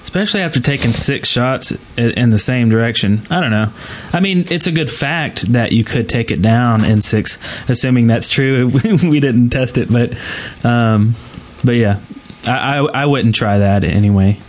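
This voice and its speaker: Male podcast host, medium pitch, casual gaming-show chat. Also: moderate pace, hardly any pauses.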